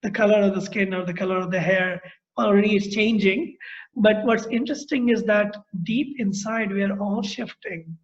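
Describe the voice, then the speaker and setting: High-voiced Indian man on a video call. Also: fairly even intonation. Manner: thoughtful, explanatory, speaks in long measured phrases. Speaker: interviewer. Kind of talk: reflective conversation. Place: room at home. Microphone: laptop mic on a video call. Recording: dull lo-fi video call audio.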